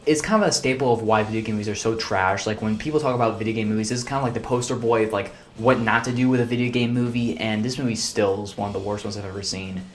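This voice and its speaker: Exasperated young man ranting. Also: fairly low pitch. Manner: exasperated, blunt, rambling with fillers. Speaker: video reviewer. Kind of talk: casual film review rant.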